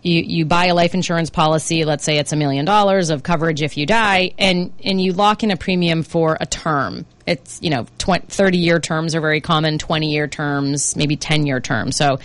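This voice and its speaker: A middle-aged woman hosting a talk radio show, slightly hoarse and chatty, with many fillers and restarts. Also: deep voice, moderate pace, few pauses, no echo. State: slightly hoarse, under the weather. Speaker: radio show host, financial planner. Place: radio studio. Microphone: studio microphone.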